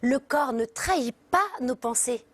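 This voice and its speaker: A woman's voice: a little high, a little slow. A woman hosting a magazine show, chatty and enthusiastic, with many fillers as she interviews a guest. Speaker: host.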